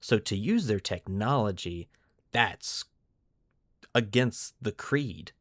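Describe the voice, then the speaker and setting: A man's voice: fairly low pitch, expressive, takes it slowly, a bit bright, no echo, clean studio sound. A man hosting a podcast, explaining lore to friends in an informal, enthusiastic way. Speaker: podcast host.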